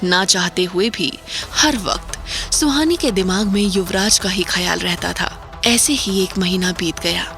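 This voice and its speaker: A woman giving steady scripted narration for an audio drama. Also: medium pitch, measured pace, faint music behind.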